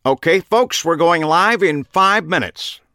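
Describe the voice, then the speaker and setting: A man's voice: medium pitch, big pitch swings, brisk, a bit bright, dry recording, clean studio sound. A man voicing a smiling, absent-minded television host in an audiobook, chatty and projecting to a crowd. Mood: announcing.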